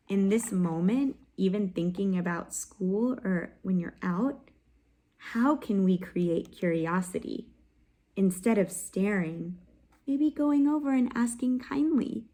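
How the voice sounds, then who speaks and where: A woman hosting a children's read-aloud, reading a picture book expressively, pausing to ask her listeners questions and sometimes dropping to a soft whisper, close to the mic. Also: mid-range voice, lively intonation.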